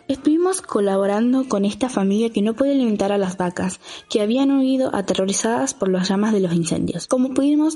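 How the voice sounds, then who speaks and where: A woman's voice: mid-range voice, dark tone, breathy edge. A young woman, hosting radio informally and reading news with a casual, slightly stumbling delivery. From Argentina. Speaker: radio show host.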